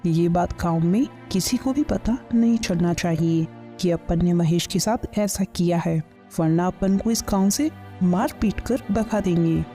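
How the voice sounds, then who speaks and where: A woman's voice: on the low side, unhurried, hardly any pauses, warm tone, lightly acted. A woman playing a greedy, scheming village farmer's wife in a kids' cartoon, with a nagging, commanding manner. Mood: hushed nagging. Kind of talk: Hindi kids' cartoon story dialogue. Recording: music under the voice.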